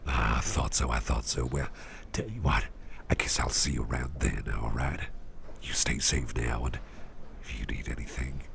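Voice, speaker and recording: A man playing a doting, genteel businessman boss in an ASMR roleplay, speaking in a soft, breathy, gravelly half-whisper, unhurried, gently repeating phrases. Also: extremely low pitch, steady tone, slight background noise, full character voice. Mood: fond, gentle. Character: doting, genteel businessman boss, smooth-talking antique hunter. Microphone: close microphone.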